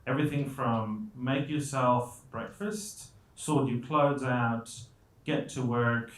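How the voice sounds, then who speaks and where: A man's voice: medium pitch, fairly even intonation, slow pace. A man from Colombia giving a conversational motivational talk to an audience, with self-deprecating humour and rhetorical questions. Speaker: guest speaker. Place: indoor event stage. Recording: echoey room.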